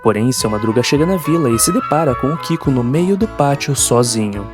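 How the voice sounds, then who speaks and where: A man's voice: medium pitch, animated, a little fast. Male narrator, Brazilian accent, mid-range voice, scripted horror storytelling over prominent music.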